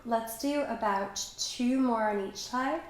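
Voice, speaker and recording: A young woman with a slight cold, calmly and encouragingly guiding a Pilates workout while she does the moves herself. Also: fairly high pitch, takes it slowly. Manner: calm, encouraging, talks while exercising. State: has a cold, slightly stuffy. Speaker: pilates instructor. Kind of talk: guided workout instruction. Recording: very echoey room, faint background noise.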